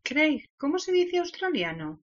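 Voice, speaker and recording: A woman from Valencia, presenting a language course and giving learners clear instructions. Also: high voice, very animated, normal speed. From Valencia, Spain. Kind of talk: instructional lesson prompts. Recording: dull lo-fi audio.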